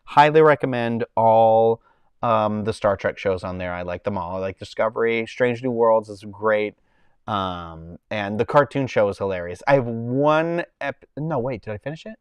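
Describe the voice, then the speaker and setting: A man's voice: on the low side, moderate pace, clean tone, no room sound. A man with a Boston accent, hosting a casual livestream from home and chatting in a rambling way while he reads the chat. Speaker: livestream host.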